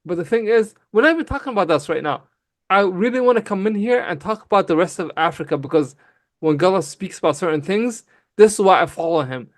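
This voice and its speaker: Somali-native man, raised in Canada, heated debater, somewhat high voice, dry close sound. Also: lively intonation, no echo. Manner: emphatic, fast, calls people bro. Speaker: guest on a livestream debate. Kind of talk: heated casual argument.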